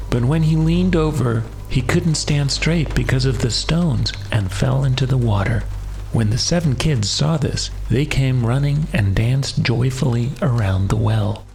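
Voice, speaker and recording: A man's voice: mid-range voice, darkish tone, a little hiss or hum. A man reading a fairy tale as a bedtime audiobook narrator.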